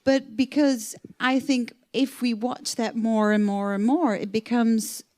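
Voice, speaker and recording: A woman's voice: on the high side, fairly even intonation, a little slow, a little mellow. A woman from the Netherlands speaking on a panel, hesitant and searching for words. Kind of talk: panel discussion remarks.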